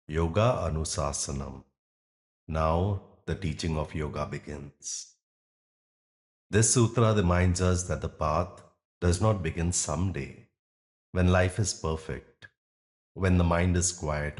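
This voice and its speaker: A man guiding a meditation as a yoga nidra teacher, speaking in gentle instructions with long pauses. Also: deep voice. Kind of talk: guided meditation.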